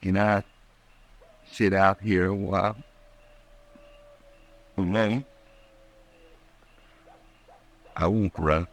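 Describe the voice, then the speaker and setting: Slow-drawling, desperate Western gunman. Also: deep voice, steady tone. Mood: broken, despairing. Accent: American. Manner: rustic drawl, halting, repetitive. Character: desperate, distraught Western outlaw gunman in love. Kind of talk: 1950s American radio western acting.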